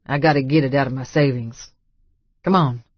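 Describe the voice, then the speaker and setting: A man plays a stubborn, folksy redneck billionaire in audiobook dialogue. His pitch is middling, and the sound is dry and slightly dull. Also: fairly even intonation, normal speed, no room sound, lightly acted. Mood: matter-of-fact, cocky. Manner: folksy, blunt, colloquial.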